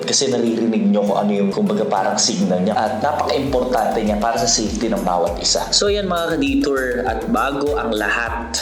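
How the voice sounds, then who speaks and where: A Filipino man narrating a motorcycle vlog in a casual, conversational, explanatory way, mixing Tagalog and English. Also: fairly low pitch. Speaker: motorcycle vlogger. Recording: roomy echo, loud background music. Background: music.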